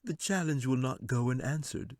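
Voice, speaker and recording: A man's voice: mid-range voice, moderate pace, no echo, studio quality, pitched above the performer's own voice, natural voice. Man playing a sly, mocking evil wizard. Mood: mocking concern. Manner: soft-spoken, condescending, mock concern. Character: sly menacing male wizard, mockingly courteous villain.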